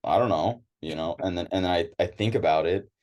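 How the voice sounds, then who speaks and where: A man's voice: deep voice, a little fast, darkish tone. A young American man chatting as an interview guest, hesitant, with many restarts and fillers. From United States. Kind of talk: casual interview chat.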